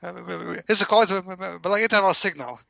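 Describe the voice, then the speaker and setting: A man explaining as an interview guest, halting and repeating words over and over as he searches for the next one. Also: high voice, creaky, bright, forceful tone, no echo. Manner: halting, heavily stammered repetitions of words. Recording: dull, lo-fi call-in audio.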